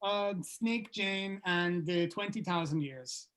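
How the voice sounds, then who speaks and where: A woman's voice: lowish voice, slow pace. A woman playing a breezy, self-assured bandleader in an amateur script read-through. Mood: matter-of-fact. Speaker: table read participant.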